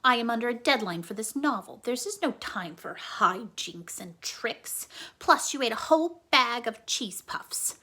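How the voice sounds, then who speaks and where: Lady doing a bossy fed-up storybook mom, naggy, high pitched, really swoopy. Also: lively intonation, a little slow, full character voice. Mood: scolding. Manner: emphatic, sharp, nagging. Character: exasperated, scolding mother, adult woman, strict and bossy. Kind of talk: children's storybook read-aloud.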